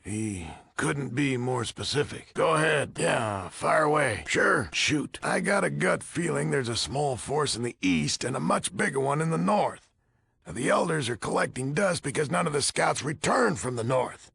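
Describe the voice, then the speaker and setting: Gravelly, gruff old American commander. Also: male voice, a little high, expressive, normal speed, fairly bright tone, no echo, heavily acted. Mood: businesslike. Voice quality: gravelly, raspy. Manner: folksy, blunt, grumbling. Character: gruff, weary old military order leader, blunt. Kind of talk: video game character dialogue.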